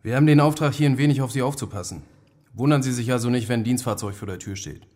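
An actor playing a businesslike police officer on duty in a radio drama, official and matter-of-fact. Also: male voice, mid-range voice, fast talker, darkish tone, natural voice.

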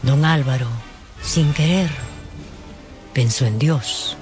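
A man's voice: mid-range voice, slow pace, music in the background. A man narrating a scripted radio drama as a storyteller.

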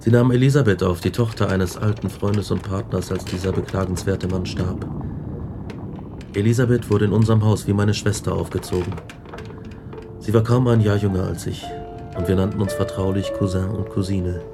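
An actor playing an earnest, brooding young scientist who recounts his tragic life in measured radio drama narration. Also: fairly even intonation, over music. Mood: reflective, sombre. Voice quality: low, creaky.

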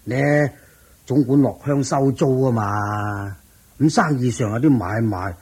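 An actor playing a wealthy old merchant and landlord in a Cantonese radio drama, with a drawn-out delivery of chuckles and sighs. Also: male voice, medium pitch, darkish tone, breathy edge. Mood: pleased, proud.

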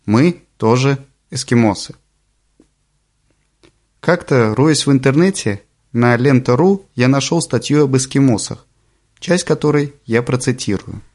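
A man narrates an audiobook in a calm, deliberate way. His voice sits at a middle pitch for a man, and he slows down on the phrases he wants to stress. The delivery stays even and composed throughout.

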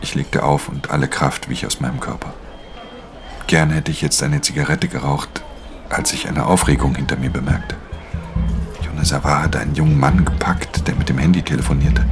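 A pickpocket telling his story, bottomless bass, half-whispered, measured, tense, radio play, music underneath. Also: fairly even intonation. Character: tense male professional pickpocket recounting his theft. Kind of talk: first-person radio drama narration.

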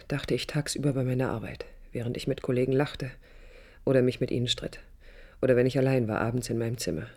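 An actress playing a yearning, introspective young woman in a 1980s radio drama, speaking in an intimate, measured, reflective way. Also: rumbling low, mellow, breathy edge, heavily acted. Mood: longing. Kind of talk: 1980s German radio drama, intimate first-person narration. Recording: 1980s radio play with music.

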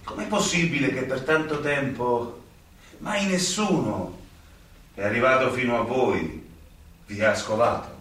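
An actor playing a stern, idealistic young magistrate haunted by guilt in a radio drama, with emphatic, deliberate phrasing and dramatic pauses. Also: male voice, medium pitch, expressive, a bit bright. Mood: accusing. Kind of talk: theatrical radio drama acting. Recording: very echoey stage, distant mic, music behind.

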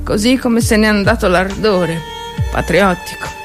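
A woman's voice: mid-range voice, measured pace, music in the background, lightly acted. An actress playing a devout, bitterly anguished woman at a war front in a dramatic, pleading stage monologue. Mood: bitter, despairing. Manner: dramatic, pleading, addressing God. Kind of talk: theatrical monologue with music.

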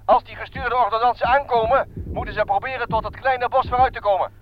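An actor playing a dutiful army lieutenant who gives formal military reports by telephone in a wartime radio play. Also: male voice, very high voice, steady tone, fast talker, slight background noise. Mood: grave, formal. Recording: muffled, dry old radio recording.

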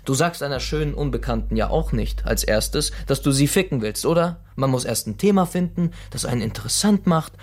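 An actor playing a young working-class blackmailer, radicalised and contemptuous, politely threatening and taunting in a naturalistic radio crime drama. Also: male voice, mid-range voice, animated, brisk, few pauses, close to an everyday voice. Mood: taunting, heated.

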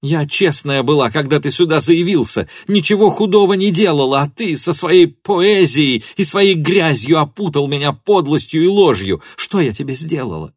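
A male narrator plays a scorned woman in a literary audiobook. The voice is raised high and loud, spitting bitter accusations with venomous contempt. The recording is close and dry. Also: expressive, normal speed, hardly any pauses, husky, no room sound. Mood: furious, bitter. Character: furious, betrayed young woman hurling scornful accusations.

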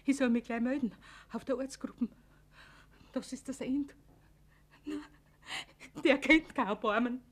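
An actress playing an anxious Viennese housewife, stammering and imploring, in a radio play. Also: female voice, high-pitched, measured pace, long pauses. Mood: pleading, fearful. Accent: Viennese Austrian. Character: frightened, pleading Viennese housewife, wife and mother.

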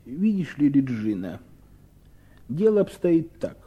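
A husky, animated stern husband. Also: male voice, on the high side, slow pace, exaggerated voice. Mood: explaining, insistent. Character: stern, impatient husband and calculating businessman brother. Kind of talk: 1970s Soviet radio play acting.

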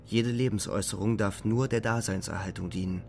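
A man playing a weary, despairing young German soldier, narrating gravely and deliberately in a literary radio play. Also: lowish voice, steady tone, a little mellow, soft background music, natural voice. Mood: weary, reflective. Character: twenty-year-old German soldier, weary, despairing, reflective.

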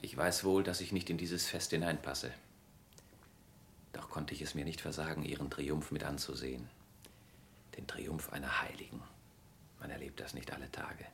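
An actor playing a courtly, cynical gentleman humbled by adoration in a German radio play, formal, measured and confessional. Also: steady tone, slight background noise, full character voice. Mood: courtly, restrained. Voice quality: very deep, gravelly.

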